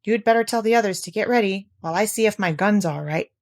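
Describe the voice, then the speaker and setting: A brisk, know-it-all little boy, as a woman reader puts him on, bright and a touch bossy. He sounds like a storybook child, perky and pitched up. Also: lightly acted. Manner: brisk and knowing.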